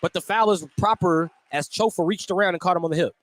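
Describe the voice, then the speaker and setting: An American man doing live play-by-play basketball radio commentary, animated on big plays and conversational in between. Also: sits high, fast talker. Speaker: play-by-play sports announcer. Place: indoor basketball gym during a game. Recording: clearly noisy gym background.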